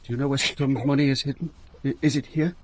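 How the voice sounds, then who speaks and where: An actor playing a probing, world-weary police inspector questioning someone in a radio drama. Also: male voice, medium pitch, steady tone, fast talker, close to an everyday voice. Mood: probing, resigned. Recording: clear background ambient noise.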